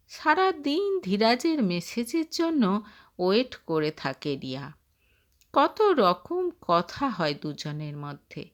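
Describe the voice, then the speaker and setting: A woman narrating a scripted short story in an expressive storytelling manner. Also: on the high side.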